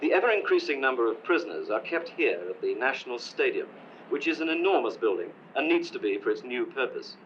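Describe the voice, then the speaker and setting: A man's voice: medium pitch, sing-song, a little fast, a bit bright, a little hiss or hum. A man filing an archival news report as a foreign correspondent, in a formal reporting tone. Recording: dull lo-fi archive broadcast.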